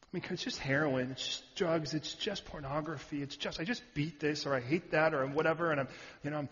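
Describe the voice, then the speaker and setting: A man preaching a sermon, animated and joking with the audience, sometimes shouting out a word. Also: on the high side, brisk. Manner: animated, shouting at peaks, jokey asides to the audience. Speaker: pastor. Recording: muffled hall recording.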